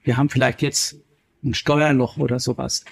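A man from northern Germany answering as an interview guest, explaining things at length in a measured, earnest way. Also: medium pitch, little pitch movement, vocal fry, a little hiss or hum. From Kiel, northern Germany. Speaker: interviewed guest, healthcare executive and author.

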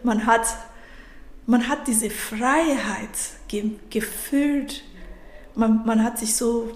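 A woman in her thirties, born and raised in Africa, speaks German as a learned language and tells her story slowly and thoughtfully, often breaking off and starting a sentence over. Her voice sits fairly high, and the room gives it a noticeable echo. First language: not German. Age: 30s. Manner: reflective, halting, restarts mid-sentence. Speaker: podcast guest. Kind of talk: personal story in interview.

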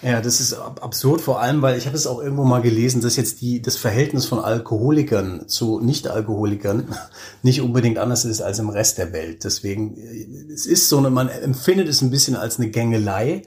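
A man's voice: medium pitch, brisk, darkish tone. Chatty man from Hesse in conversation. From Hesse, Germany. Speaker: interview guest. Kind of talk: casual conversational interview. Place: hotel room.

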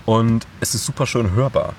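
A man's voice: medium pitch, normal speed, darkish tone, slight background noise. A man who hosts a podcast, talking casually and explaining things informally as he thinks aloud. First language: German. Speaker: podcast host. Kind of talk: casual conversational interview talk about podcasting.

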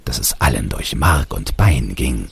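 A man narrates a fairy tale in a very deep, heavily husky voice with a lot of fry. He takes his time and shapes the storybook lines expressively. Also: animated, unhurried. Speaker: author reading a fairy tale.

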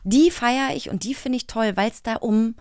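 A woman's voice: fairly high pitch, normal speed, darkish tone, no echo. A woman co-hosting a podcast in a casual, chatty style. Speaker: podcast co-host.

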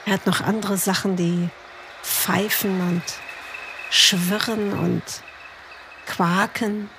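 A woman's voice: mid-range voice, steady tone, slow. Chatty woman podcast host, noisy recording. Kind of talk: conversational interview with narrated passages.

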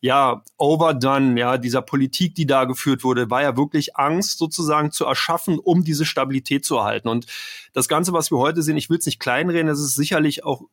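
A man's voice: fairly high pitch, brisk, no echo, clean studio sound. A middle-aged man from Berlin, a trading expert being interviewed, explaining at length in a rambling, conversational way with many fillers. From Berlin, Germany.

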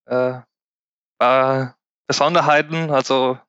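A man from Spain talks as a podcast guest in German with a clear Spanish accent and a regional dialect, choosing his words with care. His voice is bright and crisp. The sound is dry and a little muffled. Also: medium pitch, fairly even intonation, slow pace, no room sound. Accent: Spanish, with a Lower Franconian German dialect from the Aschaffenburg area. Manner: careful, searching for words, occasional grammar slips. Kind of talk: casual interview chat.

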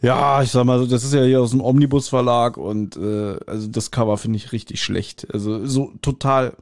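A man's voice: medium pitch, steady tone, moderate pace, darkish tone, no room sound. Slangy man, close dry sound. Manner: slangy, blunt. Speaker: podcast co-host. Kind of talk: casual chat reviewing an audio drama. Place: remote recording at a computer, on video call.

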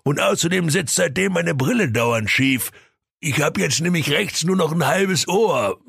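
A man voicing an irate, crude father in a comic fairy tale, blustering and insulting in a raspy, strained, creaky voice. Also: fairly high pitch, lively intonation, measured pace, fairly bright tone, no echo, studio quality, pitched above the performer's own voice, heavily acted. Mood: indignant.

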